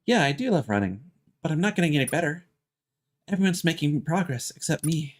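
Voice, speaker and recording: A man's voice: a little high, sing-song, brisk. Man voicing an anxious, self-doubting teenage girl. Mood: wistful. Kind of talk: reading video game dialogue aloud in character.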